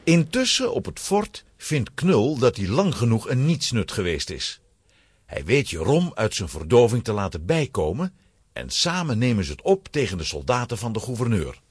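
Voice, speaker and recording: Casting: man as children's story narrator, raspy, extremely expressive scripted delivery. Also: medium pitch, normal speed, no room sound.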